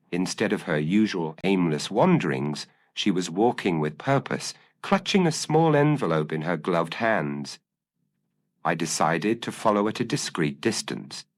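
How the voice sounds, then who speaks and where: A man narrating a gothic detective story in a measured, formal manner. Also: sits low, no echo. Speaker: audiobook narrator.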